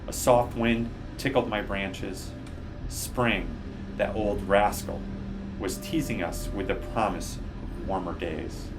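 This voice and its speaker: A man reading a children's book aloud with measured, deliberate phrasing. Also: fairly low pitch, noisy background. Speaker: guest storybook reader. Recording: music behind the voice.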